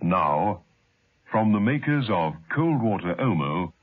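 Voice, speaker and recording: A man's voice: on the low side, sing-song, takes it slowly, breathy edge. A man making a formal sponsor announcement on old radio. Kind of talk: 1970s radio station announcement. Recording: tinny old radio recording with loud music.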